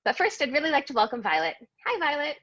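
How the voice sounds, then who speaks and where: Bright-voiced woman hosting a webinar. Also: sits high, big pitch swings, quick pace, lo-fi sound. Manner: friendly, welcoming, some "you know"s. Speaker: webinar host. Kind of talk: webinar interview. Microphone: video call.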